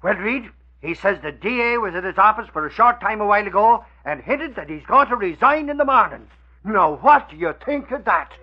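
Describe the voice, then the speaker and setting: An excitable Irishman blusters through an old radio serial. His voice rides high and leaps about in pitch. The sound is dull and aged, and he talks in folksy, flustered exclamations. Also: fairly quick. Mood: excited. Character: blustery, excitable Irish man, comic sidekick, easily flustered. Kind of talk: 1940s radio acting. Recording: muffled old radio recording.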